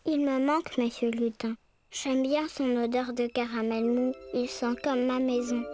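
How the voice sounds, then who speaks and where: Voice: medium pitch, level tone, a little slow, darkish tone, dry recording, soft background music, close to an everyday voice. A performer voicing a sweet, earnest little brown bear cub boy in a preschool cartoon, with childlike speech. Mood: wistful.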